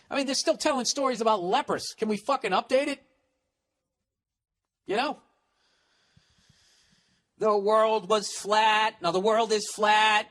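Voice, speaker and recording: A man's voice: high voice, fairly bright tone. A man in his forties in a solo comedic podcast rant, profane and sarcastic. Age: 40s. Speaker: podcast host.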